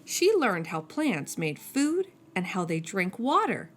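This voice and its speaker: A woman reading a children's picture book aloud as a storyteller, slowing down and dropping soft and low on each refrain. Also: big pitch swings, soft background music.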